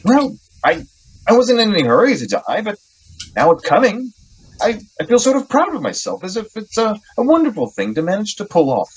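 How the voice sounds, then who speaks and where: An actor playing an idealistic, excitable young poet in a radio drama, earnest and theatrical with halting phrasing. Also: male voice, sits high, breathy edge, full character voice, rough recording. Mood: exalted, eager.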